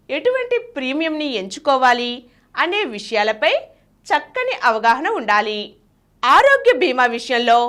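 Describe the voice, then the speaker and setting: Female presenter for scripted explainers, high voice with animated melody. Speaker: presenter reading health advice. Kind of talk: scripted informational narration.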